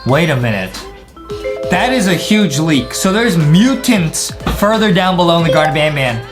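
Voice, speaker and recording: A young man hosting casual, excitable video game commentary, slangy and teasing. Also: fairly high pitch, moderate pace. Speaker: reaction show host. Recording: clear music bed behind voice.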